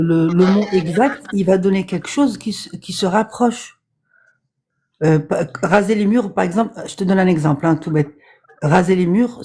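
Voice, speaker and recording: Call-in guest, mellow husky voice, patient, explains with many fillers. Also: mid-range voice. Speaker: caller on a live stream. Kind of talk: casual debate on a live stream.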